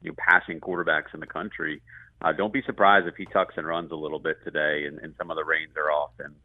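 A man's voice: very deep voice, flat delivery, fast talker, no room sound. A man talking sports as a radio play-by-play guest, in a chatty, conversational analysis style. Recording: muffled, band-limited phone-like line.

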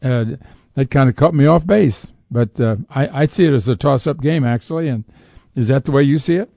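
Mellow-voiced man hosting sports radio, dry sound. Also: medium pitch, gravelly, no room sound. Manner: hesitant, pausing often with uhs. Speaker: sports talk radio host. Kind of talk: unscripted sports radio interviewing.

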